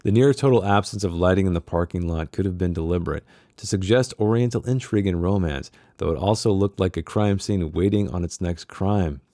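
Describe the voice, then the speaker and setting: A man's voice: low voice, a little fast, hardly any pauses, dark tone, no echo, studio quality. A man hosting a book club, reading a novel aloud and dropping in casual, informal comments of his own. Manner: informal asides, acts out character dialogue. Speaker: host of a read-along book club.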